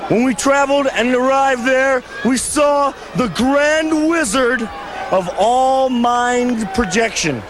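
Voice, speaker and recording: A man telling a shouted sci-fi wrestling promo slowly, taped from old TV. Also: extremely high pitch. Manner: slow shouted storytelling. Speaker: pro wrestler. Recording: old noisy TV tape with crowd. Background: crowd noise.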